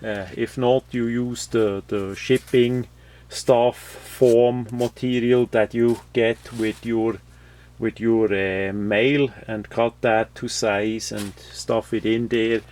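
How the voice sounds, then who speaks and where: A man giving an unscripted, matter-of-fact product review, explaining things in a halting way with frequent pauses. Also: medium pitch, steady tone, a little mellow. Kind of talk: unscripted gadget review commentary.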